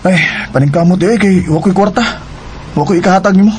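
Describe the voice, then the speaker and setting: An actor with a Cebuano Filipino accent playing a remorseful, unfaithful husband in a radio drama, hesitant and emotional. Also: male voice, sits high, fast, background noise, lightly acted. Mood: apologetic. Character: remorseful unfaithful husband and father, pleading, struggling for money. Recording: radio drama with music underneath.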